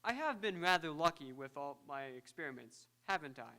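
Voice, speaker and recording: A man acting as a proud scientist of vintage radio theatre, easily excited by his own inventions. His voice sits a little high and rings bright and forceful. He explains things in fussy, precise technical terms. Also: a little fast, full character voice. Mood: self-important, explaining. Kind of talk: old-time radio drama acting. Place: live on an auditorium stage.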